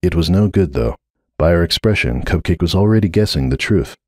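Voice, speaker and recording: A man's voice: low voice, animated, brisk, darkish tone, no echo, clean studio sound. A man giving a dramatic scripted narration of a story.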